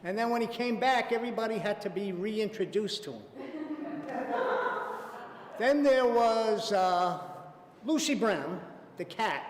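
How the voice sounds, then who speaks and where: A man telling a personal anecdote at a theater event, warmly amused and lingering on the punchlines. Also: sits high, a little hiss or hum. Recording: echoey hall, faint ambience.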